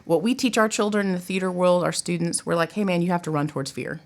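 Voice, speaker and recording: A middle-aged woman chatting casually as a podcast guest, informal, animated and given to exclamations. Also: lowish voice, fast talker. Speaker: podcast guest, college theater director. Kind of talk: casual chat.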